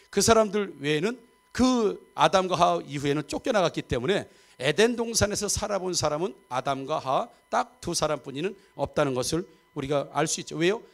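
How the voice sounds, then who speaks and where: High-voiced pastor in his fifties. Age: 50s. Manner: chatty, often seeks agreement from the congregation. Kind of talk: sermon with humor and audience asides. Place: church sanctuary.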